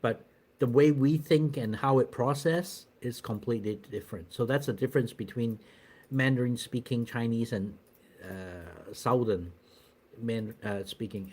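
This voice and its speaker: A middle-aged man talks loosely to his viewers on a live stream, with a voice of ordinary pitch. He rambles, restarts sentences and fills pauses with "uh" and "you know". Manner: rambling, many fillers and restarts. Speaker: channel host doing a live stream. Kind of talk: casual live-stream chat answering viewers.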